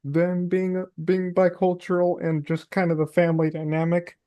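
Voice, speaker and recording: Mellow-voiced woman host, dry close sound. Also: fairly low pitch, monotone, normal speed, no room sound. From Colombian and Hungarian family. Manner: gentle questions, warm "mm-hmm" backchannels. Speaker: podcast host. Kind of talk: interview conversation.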